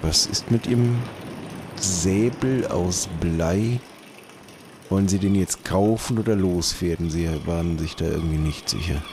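A man acts out a shrewd market trader in an improvised adventure play. His low voice is deliberate and formally polite, with open condescension, over clear background noise. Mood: condescending, shrewd.

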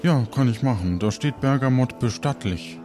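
A man's voice: mid-range voice, expressive, normal speed, close to an everyday voice. A man playing a muddled, fretful pirate crew member in an improvised audio play, hesitant and repeating himself. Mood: chatty. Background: background music.

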